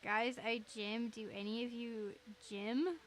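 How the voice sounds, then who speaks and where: Woman game streamer, giggly and casual, unhurried delivery, voice on the high side. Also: steady tone, slight background noise. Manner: giggly, reads chat aloud. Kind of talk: casual livestream chat while playing.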